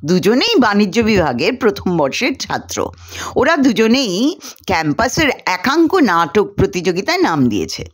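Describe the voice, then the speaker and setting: A woman reading a short story aloud in an expressive, deliberate storytelling manner. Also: mid-range voice, slightly rough. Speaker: story reader. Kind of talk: scripted narration of a short story, dramatized dialogue.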